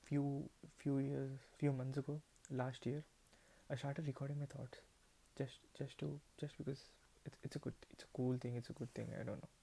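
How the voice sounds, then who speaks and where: A man's voice: mid-range voice, little pitch movement, moderate pace, creaky, mellow, rough recording. A man in his twenties whose first language is Telugu, talking unscripted to a camera in a hesitant way, with many restarts and repeated words. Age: 20s. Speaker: vlogger.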